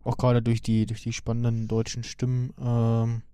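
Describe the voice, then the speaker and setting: A man co-hosting a podcast, chatting casually and haltingly as he searches for words. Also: mid-range voice, monotone, warm tone, smooth, no room sound. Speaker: podcast co-host. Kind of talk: casual chat about cartoons.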